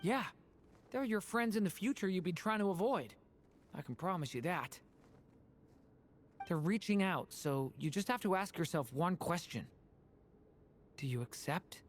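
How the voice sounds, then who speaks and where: A man voicing an earnest, gentle youth in a video game, sincere and reassuring. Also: high voice, animated, unhurried, long pauses, slight background noise. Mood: pleading, encouraging. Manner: earnest, soft-hearted, reassuring. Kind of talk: dramatic video game voice acting.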